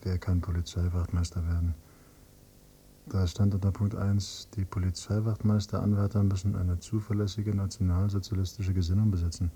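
A male actor playing a grown son, a teacher, who questions and narrates in a measured, probing way in a German radio drama. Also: sits low, flat delivery, mellow, a little hiss or hum. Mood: sober, ironic. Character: accusing grown son, a teacher probing his father's past.

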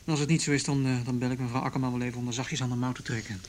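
An actor playing a careful juvenile police detective in a measured, reassuring, naturalistic radio drama manner. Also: male voice, medium pitch, steady tone, a little hiss or hum, lightly acted. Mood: careful, businesslike.

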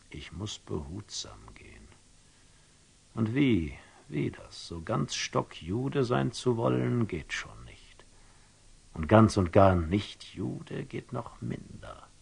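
An actor playing a wise, wary older merchant in a classical German radio drama, speaking verse deliberately and theatrically, often falling to a whisper. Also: male voice, on the low side, a little mellow, full character voice. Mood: wary, pondering. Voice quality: husky, gravelly, often dropping to a whisper. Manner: deliberate, ruminative, theatrical storyteller with dramatic pauses.

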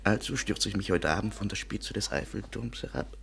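An actor playing a lovesick, self-pitying French waiter with theatrical, mournful delivery in a 1950s German radio play. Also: male voice, fairly low pitch, a little fast, creaky, a bit bright. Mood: mournful, despairing. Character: lovesick, melodramatic French waiter and hobby painter, a man.

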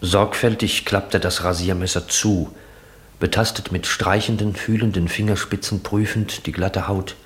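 Low male literary narrator. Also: fairly even intonation, fairly bright tone. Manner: measured, descriptive. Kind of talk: literary scripted narration, 1960s radio drama.

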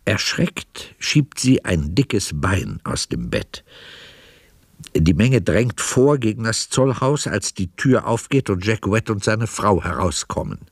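Male narrator for radio drama: lowish voice, measured descriptive read, very dry close sound. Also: big pitch swings, husky, dry recording.

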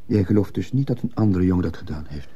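A troubled, quietly probing teacher in a radio play. His voice is low and very mellow with a husky grain, restrained yet insistent. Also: animated, fast, lo-fi sound. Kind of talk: Dutch-language radio drama acting.

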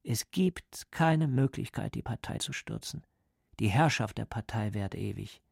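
Cold calm party torturer in a radio play, lectures slowly and carefully, bit of fry, dry sound. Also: male voice, on the low side, no echo, exaggerated voice. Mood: dismissive, certain. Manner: measured, didactic. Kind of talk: radio drama acting.

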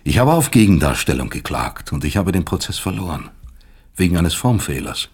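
An actor playing a bitter, resigned middle-aged professor in a radio play, lecturing in a measured, sardonic way. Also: male voice, deep voice, sing-song, breathy edge, heavily acted. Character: disgraced, bitter male professor, philosophical and resigned. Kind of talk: German radio play acting.

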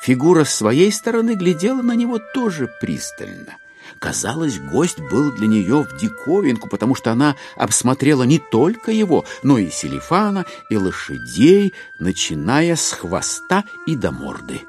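A man narrating a dramatized classic novel, telling the story expressively and deliberately with dramatic pauses. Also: medium pitch, wildly swooping pitch, a little mellow, soft background music. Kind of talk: dramatized literary audiobook narration.

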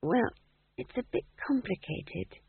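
Man putting on a timid high-voiced young witch. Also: very animated, moderate pace, husky, no echo, heavily acted. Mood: wretched, placating. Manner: hesitant, pleading, weak. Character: timid anxious young witch, patiently placating her elder. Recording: thin phone-like lo-fi audio.

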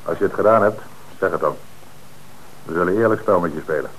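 An actor playing a calm, methodical police commissioner in an old radio play, speaking in a measured, firm way. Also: male voice, low voice, a little hiss or hum, close to an everyday voice, rough recording. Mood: stern. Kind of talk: old Dutch radio drama acting.

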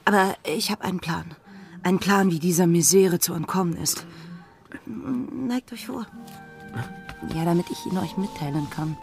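An actress playing a resolute young gentlewoman in a pirate-era radio drama, speaking proudly in formal, old-fashioned phrasing. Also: lowish voice, a little slow, creaky, music in the background. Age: young adult. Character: fierce, determined young gentlewoman turned fighter in pirate era. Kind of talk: German radio drama acting.

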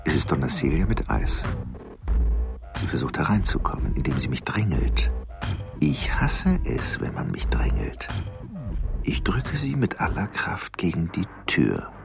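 An actor in a radio drama playing a cold, violent man who recites brutal fantasies in clipped fragments, sometimes whispering, with a gravelly voice. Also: very deep voice, very animated, measured pace, exaggerated voice. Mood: seething, disgusted. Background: music.

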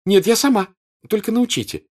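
A breathless, squealing teenage girl, eager and insistent, her voice leaping up high in a storybook reading, as a man puts her on. Her delivery swings wildly up and down with every plea. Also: sing-song, moderate pace, darkish tone. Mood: pleading.

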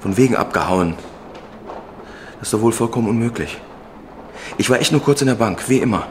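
An actor playing a baffled banker husband, indignant and insistent in a naturalistic radio drama. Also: male voice, fairly low pitch, brisk, a little hiss or hum, lightly acted.

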